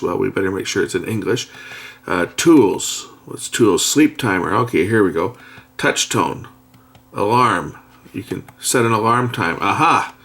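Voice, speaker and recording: Gravelly low-voiced man demoing a gadget. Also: a bit bright. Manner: thinking aloud while pressing buttons, unhurried. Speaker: product reviewer. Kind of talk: casual hands-on product demo. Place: indoors at a workbench.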